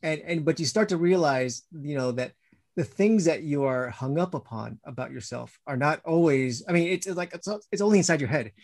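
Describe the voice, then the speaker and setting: A man's voice: on the high side, fairly quick, a little mellow. A man chatting as a guest, reflective and rambling, often restarting his sentences. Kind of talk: casual conversation.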